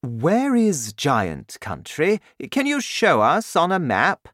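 A man's voice: fairly high pitch, huge pitch swings, takes it slowly, fairly bright tone, dry recording, clean studio sound, pitched above the performer's own voice, heavily acted. A man voicing a blunt, doubtful military air force chief in a storybook reading.

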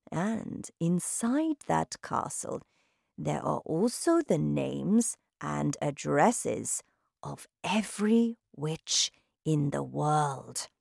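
A calm old storybook grandmother, played by a woman, sly and reassuring. She speaks slowly and softly in a lowered, slightly creaky voice, with a knowing manner. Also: dry recording, studio quality, exaggerated voice. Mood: sly, secretive. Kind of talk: children's storybook character voice.

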